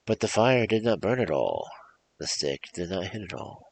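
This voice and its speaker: A man with a gravelly, husky voice hosting a storytelling show, reading fairy tales in a theatrical, emphatic, rhythmic way. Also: low-pitched, very animated, normal speed, low-quality recording. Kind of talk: animated performed fairy-tale reading with casual asides.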